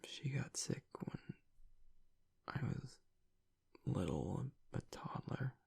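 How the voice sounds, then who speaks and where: A guarded werewolf boyfriend, acted by a man in an intimate audio roleplay. His voice is a very husky, heavily creaking half-whisper. He speaks slowly and haltingly, vulnerable and grieving beneath a tough front. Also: medium pitch, sing-song, darkish tone, heavily acted. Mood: grieving, bitter. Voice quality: breathy, gravelly whisper. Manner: halting, reluctant, haltingly confessional.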